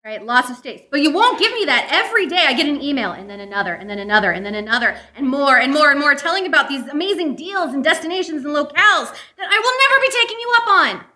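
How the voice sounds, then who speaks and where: Woman acting fed-up girlfriend, high voice, piercingly bright, melodramatic, emphatic, old-time radio sketch. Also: animated, fast talker, hardly any pauses. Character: exasperated girlfriend dumping a clingy email service.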